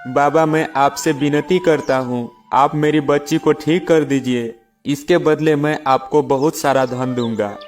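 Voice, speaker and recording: A man's voice: fairly high pitch, steady tone, unhurried, soft background music, voice pushed up, natural voice. A man voicing a greedy, jealous farmer who grumbles and schemes in a children's moral story. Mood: pleading.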